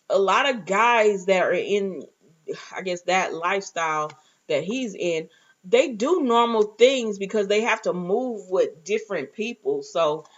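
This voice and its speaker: Female recap host, medium pitch, informal and conversational, retells and reacts; muffled home-recorded sound. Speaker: reviewer and host of a TV recap show. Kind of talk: casual recap chat with acted-out asides.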